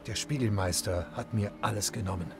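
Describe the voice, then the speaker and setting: A man's voice: fairly low pitch, brisk, vocal fry. An actor voicing a proud, weary nobleman in a German game dub, speaking in a somber, heartfelt way. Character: proud red-haired nobleman, weary, grieving. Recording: studio dub over loud music.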